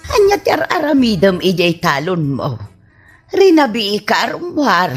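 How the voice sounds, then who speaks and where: A woman's voice: medium pitch, wildly swooping pitch, measured pace, breathy edge, soft background music, full character voice. An actress with an Ilocano accent playing a worried, scolding mother of a grown son in a radio drama. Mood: worried, chiding.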